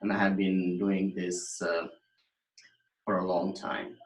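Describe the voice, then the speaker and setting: A man, lecturing on a recording in a fairly low voice. His explanations are thoughtful and broken by fillers and restarts, and the room adds a noticeable echo. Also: flat delivery, slow pace. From Nepal. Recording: echoey room.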